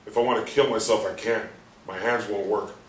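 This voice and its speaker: A man's voice: lowish voice, fairly even intonation, a little fast, natural voice. A man in a staged play reading, playing a despairing, sardonic hospital patient who broods and questions himself in coarse language. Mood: bitter, brooding. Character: bitter, despairing, sardonic male hospital patient trapped in his body. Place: live stage reading in a theatre room. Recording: roomy stage, distant mic, faint noise.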